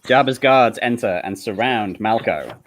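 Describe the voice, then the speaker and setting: A man's voice: fairly low pitch, animated, a little slow. A man narrating stage directions from a script at a live comedy table read.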